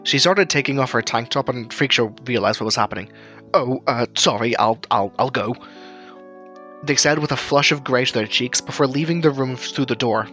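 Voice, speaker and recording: A man's voice: mid-range voice, a little fast, hardly any pauses, pushed and bright, soft background music. A man reading scripted story narration as the narrator of a fiction tale.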